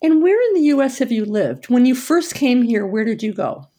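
A woman hosting a program, reading scripted narration and asking interview questions in a steady, deliberate way. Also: a little high. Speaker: radio host and interviewer.

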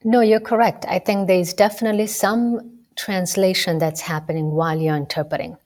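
A woman in her thirties from Kenya, a medical student answering interview questions in a measured, explanatory way. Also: on the low side, fairly even intonation, a little mellow. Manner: measured, explanatory, with occasional fillers. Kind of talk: radio interview conversation.

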